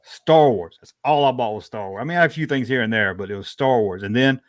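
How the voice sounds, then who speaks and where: A man's voice: mid-range voice, fast talker, no room sound, studio quality. Middle-aged man with a Southern US accent. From Georgia, US South. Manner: folksy, colloquial. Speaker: livestream guest, toy collector. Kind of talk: casual chat, answering viewer questions.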